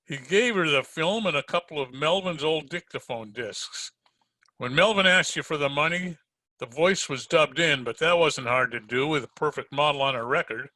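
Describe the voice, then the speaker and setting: An actor playing a tough, hard-boiled private detective in 1940s radio drama style, sardonic and slangy. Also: male voice, fairly high pitch, normal speed, sharp tone, no room sound, poor audio. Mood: cool, accusing.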